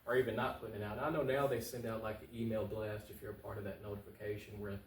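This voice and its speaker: A man's voice: fairly low pitch, level tone, quick pace. A man giving casual, conversational interview answers as a political candidate, colloquial and emphatic, repeating his points for stress. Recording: echoey room, distant mic, faint noise.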